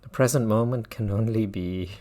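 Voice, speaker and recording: A man guiding a mindfulness meditation as a teacher, speaking in measured phrases with long pauses and repetition. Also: on the low side, mellow.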